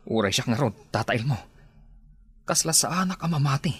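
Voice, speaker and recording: Radio drama household gossip played by a man: middle pitch, slightly rough, dry close sound, concerned. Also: expressive, moderate pace, a bit bright, dry recording. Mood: gossipy, concerned.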